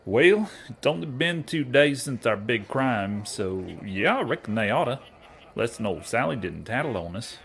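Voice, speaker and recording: An actor playing a naive, earnest young cowboy outlaw weighed down by guilt, with a folksy rural American Western drawl, in a comedy western audio drama. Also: male voice, mid-range voice, expressive, normal speed, vocal fry, fairly bright tone. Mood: wistful. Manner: folksy, ungrammatical bumpkin talk.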